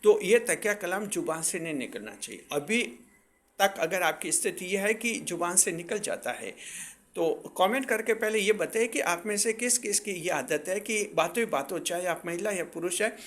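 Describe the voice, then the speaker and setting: A man's voice: high voice, lively intonation, moderate pace, fairly bright tone. A man presenting astrology advice to viewers in a didactic way, repeating and rephrasing his points for emphasis.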